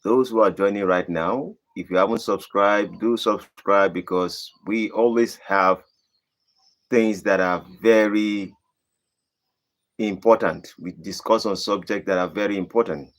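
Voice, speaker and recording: Low-voiced Nigerian man, emphatic. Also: fairly even intonation. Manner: deliberate, emphatic, rising to high emphatic bursts. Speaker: channel host. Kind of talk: live stream answering viewer questions.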